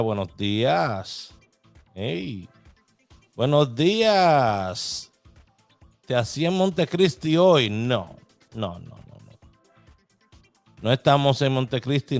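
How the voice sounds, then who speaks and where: A man hosting his show, talking in a preachy, repetitive way that drives each point home. His voice sits at an ordinary pitch and sounds dry and close, with faint music underneath. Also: no echo. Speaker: host of a lottery numbers show. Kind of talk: casual motivational talk to listeners.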